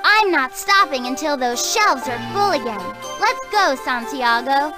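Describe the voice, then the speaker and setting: A woman's voice: sits high, lively intonation, unhurried, music in the background. A woman playing a determined, businesslike kid detective who leads the team in a children's game. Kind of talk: scripted children's game voice acting.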